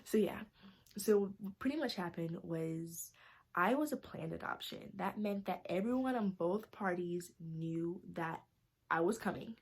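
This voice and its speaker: A woman's voice: on the low side, a little slow, slightly rough. A young woman from North Carolina with a Southern accent, talking casually to her camera as a vlogger, full of "like" and "you guys". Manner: chatty, many "like"s and "you guys". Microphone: camera mic.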